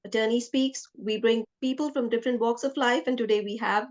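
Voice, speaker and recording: A woman speaks as a podcast host in an interview setting. Her voice sits a little high, and she talks in a conversational question-and-answer style. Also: fairly even intonation, brisk, darkish tone, muffled recording.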